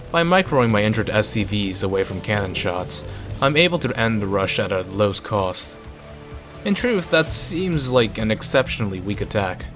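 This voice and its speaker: A man reading a visual novel aloud in a narrating style, with casual asides. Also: on the low side.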